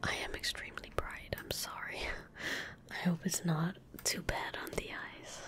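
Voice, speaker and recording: A young woman softly whispering ASMR game commentary in a breathy, gentle, hushed voice, close to the microphone. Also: a little slow. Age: young adult. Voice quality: whispery, breathy, soft-spoken. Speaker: ASMR gaming streamer. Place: indoor room at a desk. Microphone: close desk microphone. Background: keyboard typing and mouse clicks.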